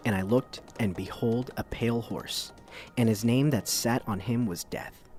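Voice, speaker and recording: An actor playing a wry, measured radio documentary host, narrating in a deadpan manner. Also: male voice, medium pitch, soft background music, close to an everyday voice. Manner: dry, deadpan.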